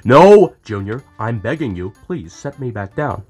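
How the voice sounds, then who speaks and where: A man's voice: mid-range voice, very animated, a little slow, darkish tone, breathy edge, dry recording, soft background music. A man voicing a blustering, grumpy turtle-monster king and father in a comic skit, indignant and demanding.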